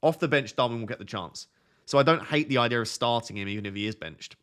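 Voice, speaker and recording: Young man, soothing relaxed voice, live stream host, mid pitch, desk mic. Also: quick pace. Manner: reads viewer questions aloud and answers them; self-described relaxing, soothing voice. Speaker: fantasy football streamer. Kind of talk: casual live stream chat.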